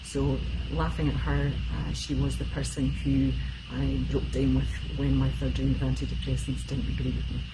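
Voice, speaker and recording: A Scottish woman in her fifties talks on a live stream in a very low, deep voice. She rambles casually with plenty of ums and uhs. A steady hiss of background noise sits under her voice. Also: moderate pace, hardly any pauses. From Scotland. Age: 50s. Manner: chatty, rambling with many fillers. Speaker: emotional support specialist.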